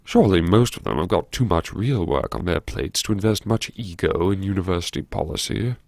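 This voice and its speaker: Man acting a droll scholarly schemer, audiobook inner monologue, self-mocking, animated intonation, close dry sound. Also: low-pitched, fairly quick, no room sound. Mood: weary, sardonic. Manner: droll, plummy old-fashioned phrasing, musing. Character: wry, erudite, self-mocking new university head talking to himself.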